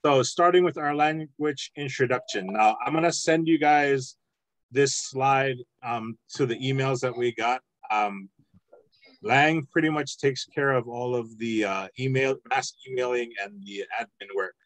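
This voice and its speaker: A man teaching an introductory Samoan language lesson online, explaining at a relaxed pace with frequent ums and uhs. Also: medium pitch, unhurried, no echo, rough recording. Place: home, online video call.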